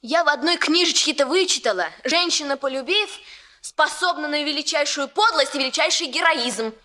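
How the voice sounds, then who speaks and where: A spurned young Soviet factory woman in a radio drama. Her voice is rather high and forceful, and she scolds with a sharp tongue and an emotional, vengeful temper. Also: moderate pace. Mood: bitter, vengeful. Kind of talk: Soviet radio play acting.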